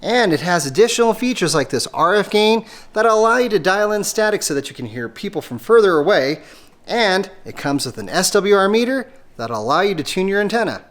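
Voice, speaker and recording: A man's voice: high voice, very animated, fairly quick, fairly bright tone. A man presenting a hands-on installation tutorial, explaining things methodically step by step. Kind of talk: step-by-step how-to instruction.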